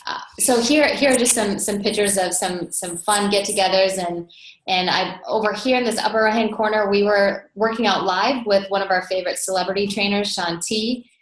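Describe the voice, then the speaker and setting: A woman's voice: mid-range voice, normal speed. American woman presenting in a roomy echo. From North Dakota, USA. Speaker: webinar host and team coach. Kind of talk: informal webinar presentation. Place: indoors, online video call.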